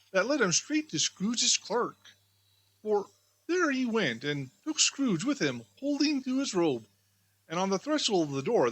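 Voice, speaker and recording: A woman storybook reader giving scripted, expressive audiobook narration of a classic tale. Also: medium pitch, husky, poor audio.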